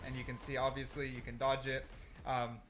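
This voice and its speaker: A man's voice: mid-range voice, level tone, normal speed, a bit bright, a little hiss or hum. A man giving casual, unscripted gameplay commentary, thinking aloud and correcting himself as he goes in an informal way. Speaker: gaming video creator. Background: background music.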